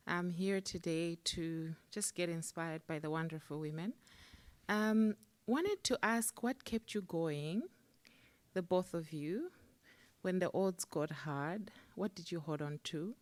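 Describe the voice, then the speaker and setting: A woman's voice: on the low side, darkish tone. A woman from Malawi, asking the panel a question from the audience with some hesitation.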